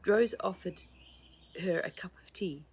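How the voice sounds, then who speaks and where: A woman's voice: lowish voice, a little slow, a little hiss or hum. A woman reading a children's storybook aloud as scripted narration, as its author.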